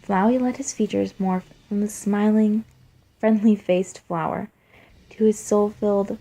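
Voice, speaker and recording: A woman's voice: mid-range voice, steady tone, measured pace, dark tone, poor audio. A woman reading and chatting as an amateur fan fiction writer and narrator. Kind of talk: scripted story narration and casual chat.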